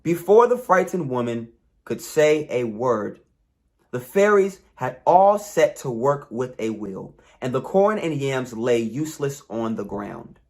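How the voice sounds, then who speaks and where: A man reading a folktale aloud as a storyteller, with measured, dramatic phrasing and pauses. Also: medium pitch. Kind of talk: expressive scripted story reading.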